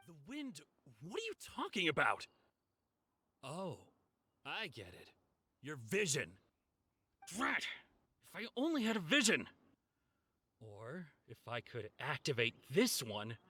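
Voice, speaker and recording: Excitable anime-game thief: sharp tone, lively pitch swings, melodramatic exasperation. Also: male voice, unhurried, long pauses, breathy edge, full character voice. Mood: shocked, frustrated. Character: boastful, excitable male thief, easily exasperated. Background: background music.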